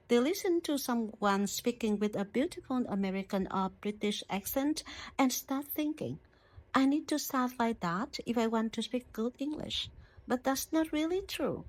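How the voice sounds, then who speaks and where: A woman giving a prepared English lesson, speaking in a slow, deliberate and measured way. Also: fairly high pitch, a little mellow, poor audio. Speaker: English teacher.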